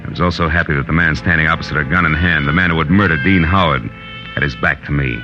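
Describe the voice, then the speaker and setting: An actor playing a tough, wisecracking American private detective in a radio drama, with a clipped, wry delivery. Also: male voice, very deep voice, fast talker. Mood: hard-boiled narration. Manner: clipped, wry, hard-boiled. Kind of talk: 1940s radio detective drama acting and first-person narration. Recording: muffled old radio broadcast with music.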